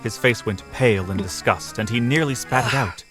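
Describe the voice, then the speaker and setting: A man's voice: medium pitch, moderate pace, fairly bright tone, soft background music. A man reading scripted narration for a fantasy audio drama, in a storyteller's style.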